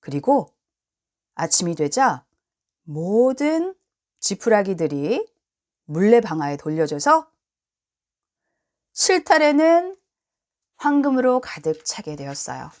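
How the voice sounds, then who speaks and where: A woman reading a children's storybook aloud in an expressive, deliberate way. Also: medium pitch. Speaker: storybook reader.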